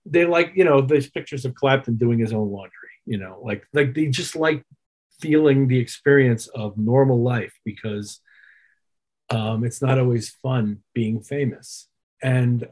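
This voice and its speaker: A man with a husky voice talking thoughtfully and articulately as a musician being interviewed. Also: mid-range voice, darkish tone. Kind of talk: reflective conversational interview.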